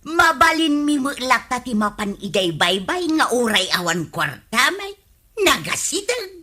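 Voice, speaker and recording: Husky, gossipy villager. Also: female voice, sits high, big pitch swings, a little slow, pushed and bright, heavily acted. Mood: gossipy, mocking. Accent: Filipino. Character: gossipy, mocking village woman. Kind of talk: Filipino radio comedy drama acting.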